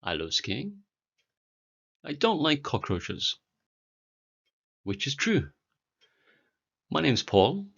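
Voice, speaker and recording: A British man in his forties teaching a beginner English lesson, speaking clearly and deliberately for learners. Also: mid-range voice, huge pitch swings, fairly bright tone, muffled recording. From Britain. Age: 40s.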